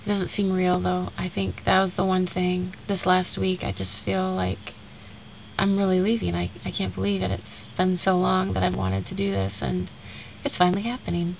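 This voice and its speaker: A woman's voice: fairly low pitch, completely flat delivery, normal speed, gravelly. A young woman with a stuffy, nasal voice from a cold, talking casually in a rambling video message to a friend and retelling a conversation with many fillers. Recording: tinny lo-fi recording with faint hiss.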